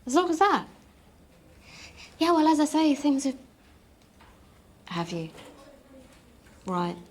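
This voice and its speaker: Flustered stammering mum. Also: female voice, high-pitched, very animated, slow, slight background noise, full character voice. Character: flustered middle-aged mum juggling calls. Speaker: mother. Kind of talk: sitcom acting.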